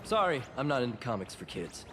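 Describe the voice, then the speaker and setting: A man's voice: mid-range voice, sing-song, fast, noisy background, close to an everyday voice. A man voicing a dismissive, nitpicky young townsperson in an anime-style video game, blunt and scoffing. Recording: music behind the voice.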